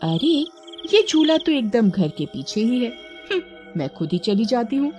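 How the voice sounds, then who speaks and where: Woman voicing an expressive, high-pitched young wife. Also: normal speed, faint music behind. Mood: delighted. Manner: coaxing, expressive, exclamatory. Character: eager young wife, playful and pleading, later terrified. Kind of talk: dramatized cartoon horror story.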